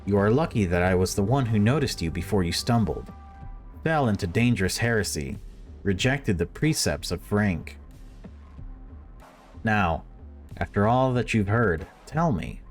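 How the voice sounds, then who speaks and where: A man's voice: fairly low pitch, expressive, a little slow, pauses often, soft background music. A man voicing a stern, condescending official who preaches machine worship in formal sermons, read aloud from a horror visual novel. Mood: cold condescension.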